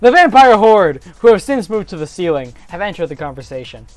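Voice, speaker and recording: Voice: medium pitch, very animated, a little fast, soft background music. A theatrical narrator giving a comedic dramatic reading of a fantasy story.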